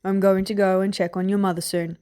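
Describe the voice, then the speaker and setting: A gruff, fatherly fighting man of middle age, as a woman narrator plays him. He speaks in a rough, grumbling way with plain affection underneath, as in a fantasy story read aloud. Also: mid-range voice, flat delivery, a little fast, dark tone, natural voice. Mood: gruff, fond. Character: gruff, tender middle-aged male warrior, fatherly guard.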